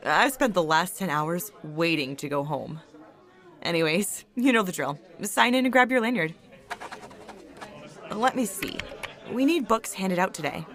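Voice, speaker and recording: A woman's voice: fairly low pitch, animated, measured pace, long pauses, a bit bright. A woman playing a friendly, chatty hospital volunteer coordinator in an audio drama, with a casual, familiar manner. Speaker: supporting actor in an audio drama.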